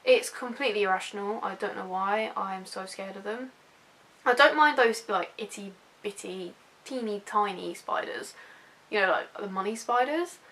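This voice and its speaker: A woman's voice: medium pitch, moderate pace, bright, forceful tone, rough recording. A young woman with a British accent chatting casually and informally in a personal vlog, rambling a little and poking fun at herself. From United Kingdom. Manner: informal, rambling, self-deprecating. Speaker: YouTube vlogger. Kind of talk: casual personal chat to camera.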